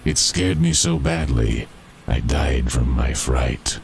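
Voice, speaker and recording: A man's voice: extremely low pitch, vocal fry, a little hiss or hum, exaggerated voice. A man voicing the skeleton of a dead old miner, grave and ominous, drawing out his warning in a spooky rhymed campfire poem. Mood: grave, haunted warning. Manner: slow, drawn-out, ominous delivery.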